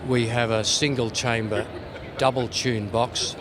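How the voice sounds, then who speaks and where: A man's voice: mid-range voice, steady tone, unhurried, noisy background. A man giving an informal, playful product demonstration as a company representative at a trade show. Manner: tongue-in-cheek, playful.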